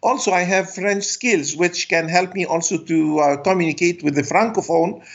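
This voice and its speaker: Older-ish guy w/ arabic accent, slightly high voice, lots of ums giving interview advice, muffled lo-fi sound. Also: vocal fry. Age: middle-aged or older. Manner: halting, hesitant delivery with frequent long fillers. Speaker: guest, sports business consultant.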